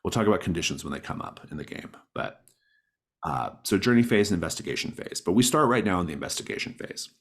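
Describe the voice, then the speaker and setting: Guy with a low deep voice running a tabletop game, casual and encouraging. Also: expressive, fast talker, fairly bright tone. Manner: encouraging, affirming players' ideas. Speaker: game master. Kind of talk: casual tabletop game facilitation, explaining rules and setting.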